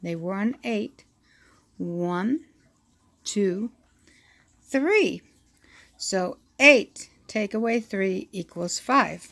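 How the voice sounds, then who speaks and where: A woman reading a children's picture book aloud, with an expressive storytelling delivery. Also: medium pitch. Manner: slow, expressive reading with acted-out sound effects.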